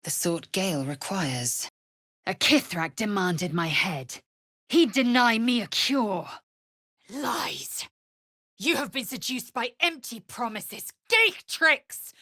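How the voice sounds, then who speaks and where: An actress voicing a proud, fierce, scornful female alien warrior in a video game, with a husky, raspy voice and an imperious, clipped, deliberate way of speaking. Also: medium pitch, very animated, bright, forceful tone, dry recording, clean studio sound, exaggerated voice. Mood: fierce, angry. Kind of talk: video game character voice lines.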